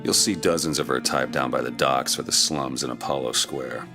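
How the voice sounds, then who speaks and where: A man playing a weary, gruff, middle-aged private detective with an American accent in a noir video game, terse and cynical. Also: moderate pace. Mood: world-weary, guarded. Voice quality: gravelly, very deep. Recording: music behind the voice.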